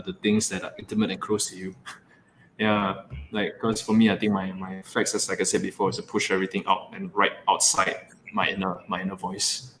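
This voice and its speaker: Low-voiced man rambling in casual chat. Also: fairly bright tone, slight background noise, low-quality recording. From Singapore. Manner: rambling, heavy on fillers, laughs often. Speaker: poet guest.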